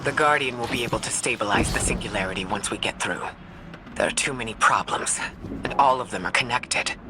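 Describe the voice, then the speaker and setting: A performer acting as an earnest sci-fi game advisor, formal and measured. The voice is mid-pitched, with clear ambient noise and rumble around it. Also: natural voice. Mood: earnest, serious. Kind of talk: video game dialogue acting.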